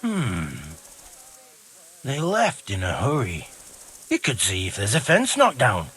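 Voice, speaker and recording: A man playing a bitter, gruff, foul-mouthed wounded stable hand in a video game cutscene, with a husky voice. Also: medium pitch, wildly swooping pitch, takes it slowly, a bit bright, a little hiss or hum, exaggerated voice. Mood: gruff, weary. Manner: gruff, blunt, swearing.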